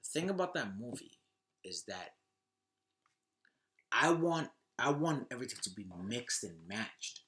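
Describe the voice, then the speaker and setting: A man's voice: mid-range voice, sing-song, measured pace, long pauses, fairly bright tone. A man hosting a casual podcast, talking in an opinionated, emphatic way, repeating himself and swearing casually. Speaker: podcast host. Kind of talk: casual podcast chat about movies and games.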